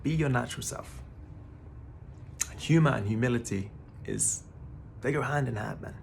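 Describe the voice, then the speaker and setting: A man's voice: medium pitch, moderate pace, gravelly, slight background noise. A man in his thirties giving a casual, laid-back spiritual talk to the camera, often calling the listener "man" and swearing now and then. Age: 30s. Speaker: spiritual vlogger.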